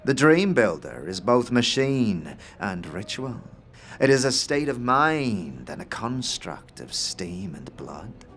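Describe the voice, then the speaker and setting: Man playing a grandiose, eccentric inventor, swooping pitch. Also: very animated, a bit bright, exaggerated voice. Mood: solemn, cryptic. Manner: theatrical, grandiose, dramatic pauses. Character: wild-eyed, eccentric middle-aged male inventor, grandiose and cryptic. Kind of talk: fantasy audio drama acting.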